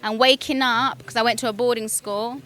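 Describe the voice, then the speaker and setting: Bright-voiced chatty young woman, British accent. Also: a little high, fairly even intonation, normal speed. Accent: British English. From Kenya. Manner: chatty, many ums, laughs easily. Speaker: guest. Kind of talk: casual interview storytelling.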